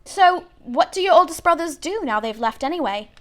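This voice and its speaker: A woman's voice: high voice, lively intonation, normal speed, a bit bright, pitched above the performer's own voice. A woman voicing a mild, kindly young boy with a curious, questioning manner in a storybook reading.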